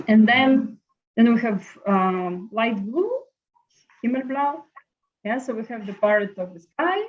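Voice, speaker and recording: A woman teaching a friendly live online painting class, chatting in an unhurried way with many fillers. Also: mid-range voice. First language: Latvian. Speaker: art teacher. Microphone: laptop video call. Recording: slightly muffled video call audio.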